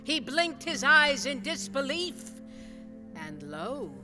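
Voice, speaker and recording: Theatrical charity lady and narrator female actor: bright, highish voice, polite and earnest. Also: big pitch swings, slow, soft background music, full character voice. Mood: dramatic. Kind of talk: radio play acting and narration.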